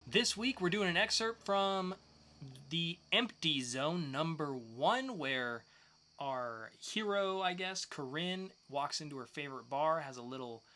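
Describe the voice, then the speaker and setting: A man's voice: fairly high pitch, lively intonation, a little slow, bright, forceful tone, a little hiss or hum. A man co-hosting a podcast, presenting scripted segments in an upbeat style. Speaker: podcast co-host.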